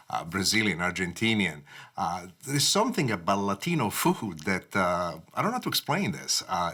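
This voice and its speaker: A man hosting a cooking show from his kitchen as a TV chef, speaking with passion and expression and often pausing on "uh". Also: low-pitched, husky. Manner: passionate, expressive delivery with frequent "uh" fillers. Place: home kitchen.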